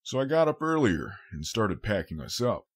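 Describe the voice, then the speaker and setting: A man voicing a gentle, protective male pony, fatherly and caring, in an audiobook. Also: lowish voice, big pitch swings, moderate pace, slightly rough, pitched above the performer's own voice. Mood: friendly, caring. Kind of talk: audiobook character voice.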